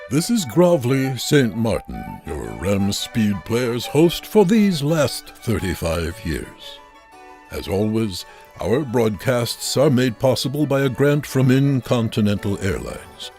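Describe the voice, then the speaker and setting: A man's voice: mid-range voice, very animated, slow, a little mellow, faint music behind. A man narrating a scripted comic mock radio broadcast as its host, theatrical, mock-pompous and emphatic.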